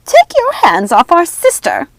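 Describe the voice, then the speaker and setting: A woman voicing wicked, frenzied witch sisters from a storybook, imperious and menacing, with shrill, commanding, dramatic delivery. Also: wildly swooping pitch, measured pace, no room sound, heavily acted. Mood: furious. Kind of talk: storybook character acting.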